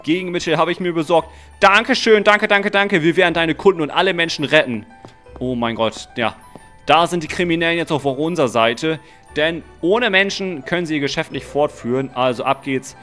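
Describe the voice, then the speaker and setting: A young man narrating a game role-play dramatically and excitedly, full of exclamations, close to the microphone. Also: on the high side, moderate pace. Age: young adult. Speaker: gaming video host and player. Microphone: close microphone, seen. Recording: music under the voice. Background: music.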